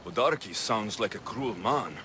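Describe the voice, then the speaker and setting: An actor playing a determined, dry-witted young mercenary warrior in a video game, terse in manner. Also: male voice, lowish voice, expressive, normal speed, over music, natural voice. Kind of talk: video game cutscene acting.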